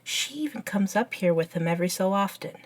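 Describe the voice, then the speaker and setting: A woman voicing an eager, curious, nerdy young best friend in a scripted ASMR roleplay, chatting and explaining in an unhurried, softly enthusiastic way. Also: medium pitch, animated, close to an everyday voice. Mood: chatty. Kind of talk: ASMR roleplay, scripted one-sided conversation.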